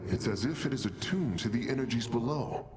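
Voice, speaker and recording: A man's voice: mid-range voice, animated, brisk, background noise, close to an everyday voice. A man playing an earnest, analytical alien engineer in a video game, precise and formal in his speech. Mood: awed, analytical. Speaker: engineer and scientist. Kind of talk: video game cinematic dialogue. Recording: loud engine-like ship ambience behind.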